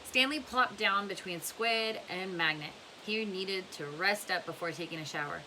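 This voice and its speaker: A woman's voice: mid-range voice, normal speed, sharp tone, poor audio. A woman narrating a storybook aloud, with hiss in the recording. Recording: clear background hiss.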